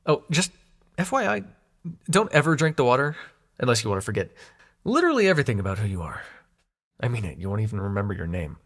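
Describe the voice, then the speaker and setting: A man's voice: mid-range voice, very animated, fast, long pauses, a bit bright. A man acting in an intimate audio roleplay as a young god of dreams, sarcastic and wounded but fond, talking in casual, sweary modern slang. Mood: relaxed, wry.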